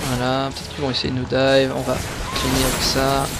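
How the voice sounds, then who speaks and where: A man commentating his own gameplay live, excitable and coaching the viewers on items. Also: mid-range voice, brisk. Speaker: gamer explaining his play. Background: game music.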